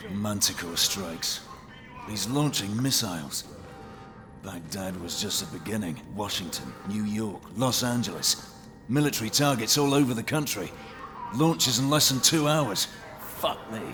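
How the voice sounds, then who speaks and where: A man playing a grave soldier in a military video game, speaking in a grim, halting, understated way. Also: lowish voice, animated, music in the background.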